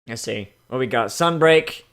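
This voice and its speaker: A man's voice: mid-range voice, lively intonation, a little slow, a bit bright, clear, resonant tone. A man giving casual, mocking comedic commentary as the host of a reading show. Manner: sarcastic, mocking.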